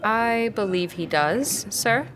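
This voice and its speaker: A woman's voice: a little high, animated, slow pace, noisy background. A woman playing a proper, guarded governess in a period audio drama, polite and careful with hesitant phrasing. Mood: flustered, deferential. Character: proper, guarded young governess recounting events. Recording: loud music under the voice.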